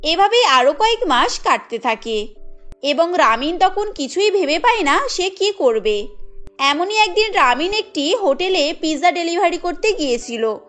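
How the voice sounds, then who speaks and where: Very high-pitched woman narrating. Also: big pitch swings, measured pace, fairly bright tone. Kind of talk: scripted cartoon story narration. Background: faint music.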